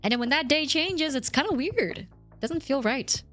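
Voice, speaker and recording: A woman streamer chatting casually and thanking viewers, her voice slightly rough from a recent cough. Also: high-pitched, expressive, normal speed, a bit bright, faint music behind. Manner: chatty, rambling, reads chat and thanks subscribers. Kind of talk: casual livestream chat.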